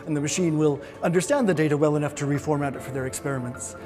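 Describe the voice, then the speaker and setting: A man's voice: a little high, a little fast, over music. Canadian man explaining his research. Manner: clear, explanatory. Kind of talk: interview answers in English.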